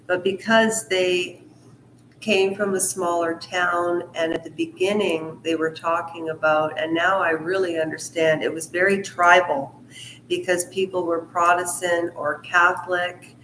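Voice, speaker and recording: A woman's voice: fairly low pitch, little pitch movement, a bit bright, reverberant, slight background noise, low-quality recording. A woman hosting her own channel, reading a book aloud and stopping often for rambling asides of her own. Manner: slow, deliberate delivery. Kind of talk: book reading mixed with casual commentary.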